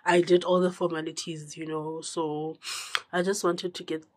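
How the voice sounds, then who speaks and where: A congested young woman chatting to camera in a small room, her voice rising and then dropping to a low murmur. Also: little pitch movement. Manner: chatty, with drawn-out words and sudden drops to a low murmur. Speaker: vlogger. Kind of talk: casual direct-to-camera chat.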